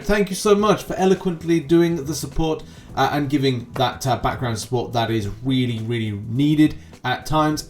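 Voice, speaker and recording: A man giving casual, rambling gaming news commentary as a channel host, with chatty asides. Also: a little high.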